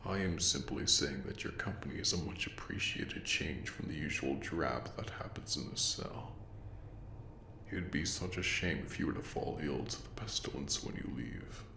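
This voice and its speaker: An extremely deep, gravelly voice belongs to a courtly plague doctor creature, acted by a man. He speaks slowly and formally in a breathy murmur, with calm menace and obsessive interest. It is an audio roleplay with a roomy echo around the voice. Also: exaggerated voice. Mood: softly reassuring. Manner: slow, formal, old-fashioned diction, softly menacing. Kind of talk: audio roleplay monologue addressed to the listener. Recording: roomy echo with faint background noise.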